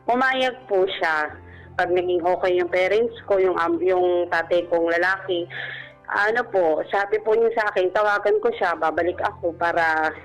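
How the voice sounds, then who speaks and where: A woman's voice: lowish voice, normal speed, rough recording. A Filipino woman speaking politely and deferentially as a radio caller, telling a personal story in a casual interview. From Philippines. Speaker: radio show caller, former overseas domestic worker. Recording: music bed behind voice. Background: background music.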